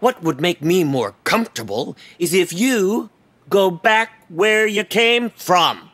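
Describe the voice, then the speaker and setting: An actor playing an uptight, resentful office coworker in an audio play, indignant and scolding. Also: male voice, high voice, expressive, slow pace, fairly bright tone, heavily acted.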